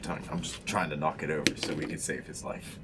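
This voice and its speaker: A man's voice: very deep voice, level tone, fast, vocal fry, very noisy. A man talking casually as a player in a tabletop game with a group.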